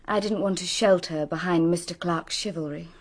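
A woman's voice: fairly low pitch, fairly even intonation, moderate pace. An actress playing a composed, candid young Englishwoman in a radio drama, speaking formally and politely in received pronunciation. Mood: wounded, composed.

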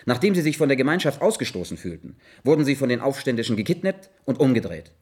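A man's voice: medium pitch, big pitch swings, quick pace, a little mellow, breathy edge. An actor playing an earnest, methodical male investigator in a German radio play, speaking formally, precisely and insistently. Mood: earnest, accusing.

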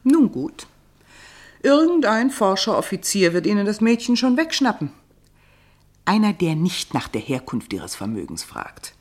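An actress playing a worldly, wry confidante who speaks with knowing formality in a radio drama. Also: female voice, medium pitch, very animated, a little slow, darkish tone. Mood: wry, prodding. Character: worldly, wry female confidante giving frank advice. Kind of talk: German radio drama acting.

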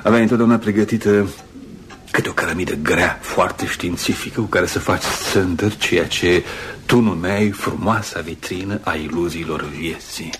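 A brisk, insistent friend in a radio drama. The voice sits fairly low and talks with chatty irony. There is some background noise from the old recording. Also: male voice, fairly bright tone. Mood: teasing. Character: brisk, teasing, bossy male friend of the doctor. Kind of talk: Romanian radio theatre acting.

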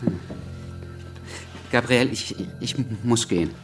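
An actor playing an eager young revolutionary lawyer with a halting stammer in a radio drama. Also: male voice, mid-range voice, music in the background, natural voice. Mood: hesitant. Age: young adult.

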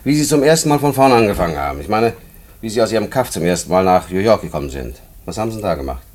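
Patronising prison inspector, lowish voice with a very animated melody, casual radio-drama delivery. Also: male voice, racing. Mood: matter-of-fact, coaxing. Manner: casual, condescending, coaxing questions. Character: patronising, matter-of-fact male prison inspector. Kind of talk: German radio play acting.

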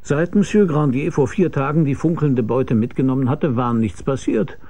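Man playing hard-boiled detective, dark husky voice, mid pitch, wry and laconic, radio crime drama narration. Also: moderate pace, natural voice. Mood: brooding, wry. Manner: laconic, dry, probing. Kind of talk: German radio crime drama, first-person narration and dialogue.

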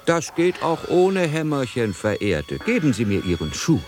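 An actor playing a courtly, wistful steamship captain in a German radio play, speaking with gallant, hesitant politeness. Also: male voice, medium pitch, big pitch swings, measured pace, faint music behind.

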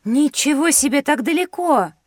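A woman's voice: high voice, animated, normal speed, no room sound, clean studio sound. A woman playing a curious, polite and easily amazed young schoolgirl in a children's educational audio drama. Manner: wondering, enthusiastic.

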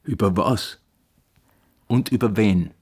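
A man's voice: fairly low pitch, slow, slightly rough. An actor in a radio play voicing a weary, evasive married man who is cynical about love, dismissive and brooding. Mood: defensive. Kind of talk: German-language radio play acting.

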